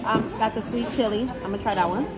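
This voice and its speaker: A woman's voice: a little high, fast talker. A woman vlogging a casual taste test in a busy restaurant, chatting to viewers about her food as she eats. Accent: American. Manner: chatty, relaxed, talks to viewers. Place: busy sushi restaurant indoors. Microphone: phone camera on the table. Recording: phone audio in a noisy restaurant. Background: loud music and crowd chatter.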